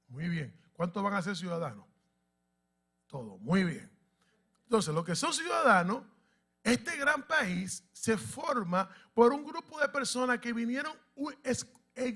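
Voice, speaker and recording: Emphatic high-voiced pastor. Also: male voice, a bit bright. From Dominican Republic and Puerto Rico. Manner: emphatic, asks the congregation questions. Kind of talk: sermon teaching to a congregation.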